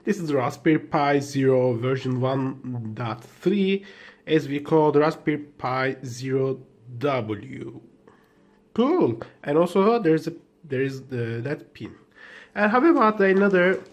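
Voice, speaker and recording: Guy with turkish as his first language, kinda high voice, slow and hesitant, just woke up, unboxing stuff. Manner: hesitant, halting, with many fillers and restarts. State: just woken up. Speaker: tech vlogger. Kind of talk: unboxing commentary.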